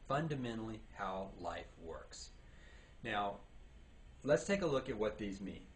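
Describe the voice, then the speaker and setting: A man's voice: on the low side, sing-song, measured pace. A man giving an instructional seminar talk as a leadership trainer, speaking emphatically and posing rhetorical questions. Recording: clearly audible background noise.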